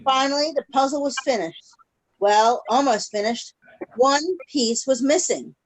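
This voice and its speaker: High-pitched woman reading a children's storybook. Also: rough recording. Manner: pauses to check in with young listeners. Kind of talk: read-aloud storytime with questions to children. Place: online video call. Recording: video call audio.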